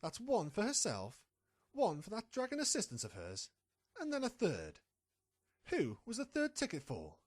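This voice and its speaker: A woman's voice: mid-range voice, huge pitch swings, normal speed. A woman playing a sly, scheming pony reporter in an audio drama, confident and impatient with a partner. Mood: insistent deduction. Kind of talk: fan audio drama acting.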